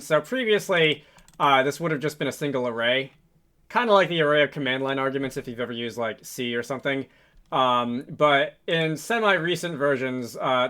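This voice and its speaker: A man hosting a casual coding tutorial, thinking aloud in a halting, improvised way with frequent hesitations. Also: medium pitch, pushed and bright. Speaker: tutorial host, game developer.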